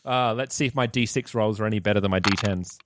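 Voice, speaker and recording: A man's voice: fairly low pitch, fast talker, background noise. A man playing a tabletop game and chatting casually about his moves.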